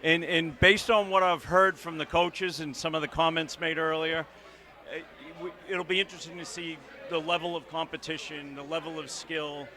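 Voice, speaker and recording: A man's voice: a little high, steady tone, moderate pace, bright, forceful tone. A man working as a reporter, interviewing young players in a chatty, upbeat way on a handheld microphone. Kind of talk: on-camera interview coverage.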